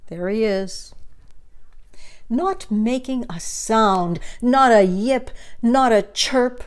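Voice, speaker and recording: A woman's voice: fairly high pitch, lively intonation, very slow, smooth. A woman reading a children's picture book aloud as a storyteller, with dramatic, emphatic phrasing.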